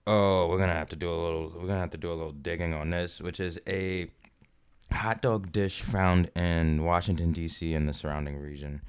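Deep-voiced American man, smooth and halting. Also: fairly even intonation. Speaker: podcast co-host. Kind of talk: casual comedic chat, reading out information.

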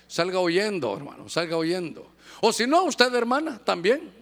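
High-voiced male preacher, lively and joking, acts out little scenes. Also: slow. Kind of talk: sermon.

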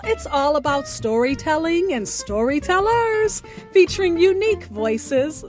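A woman hosting a radio show, reading a scripted introduction in a deliberate, theatrical way with music behind her. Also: high voice.

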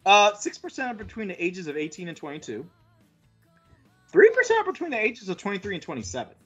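A man's voice: high voice, huge pitch swings, fast talker, a bit bright, breathy edge, muffled recording, faint music behind. A man around forty hosting a casual podcast, rambling through stats with crude jokes and many fillers. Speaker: podcast host.

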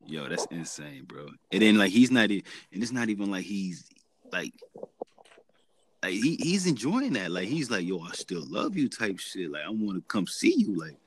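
Laid-back man with a very deep voice. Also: sing-song, slightly rough. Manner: laid-back slangy talk, drawn-out fillers. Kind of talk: casual chat.

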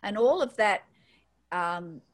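A woman's voice: medium pitch, animated, slow pace. An Australian woman, a guest in a spiritual conversation, speaking thoughtfully and hesitantly, often restarting her phrases.

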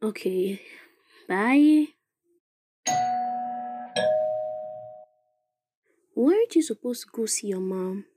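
Young Ghanaian woman played by an actress, husky, mellow, dry close sound, sharp-tongued, devoted, radio drama. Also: mid-range voice, animated, unhurried, no echo. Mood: teasing. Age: young adult. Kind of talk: dramatic dialogue in an audio play.

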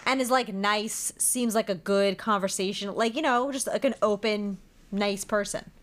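A woman co-hosting a podcast, chatting casually with quick, animated remarks. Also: medium pitch. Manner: quick agreeing interjections, animated. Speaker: podcast co-host. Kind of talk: casual chat.

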